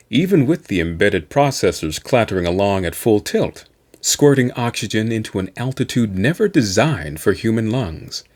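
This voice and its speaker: Low-pitched, highly animated male narrator. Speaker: host reading an audiobook. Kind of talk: scripted narration of a science fiction novel.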